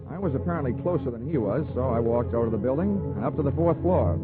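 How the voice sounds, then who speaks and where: A man's voice: medium pitch, phone-quality sound, close to an everyday voice. Wisecracking lone private eye, played by a man, tough and sardonic, vintage radio recording with music behind. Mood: grim. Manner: wry, tough-talking, pushy. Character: wisecracking, hard-boiled male insurance investigator, lone private eye. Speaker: lead actor and narrator. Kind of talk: 1940s radio detective acting and first-person narration.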